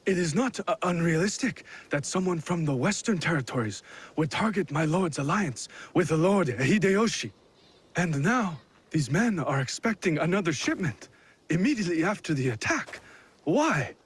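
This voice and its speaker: Husky, flustered feudal lord. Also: male voice, on the high side, very animated, normal speed. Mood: worried. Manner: stammering, formal, eager to please. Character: anxious, deferential lord of feudal Japan, righteously indignant. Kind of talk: video game cutscene acting.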